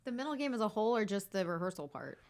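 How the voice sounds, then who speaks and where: A woman's voice: mid-range voice, lively intonation, very fast, a little mellow. A female coach in a casual chat, asking curious follow-up questions. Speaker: mental management coach.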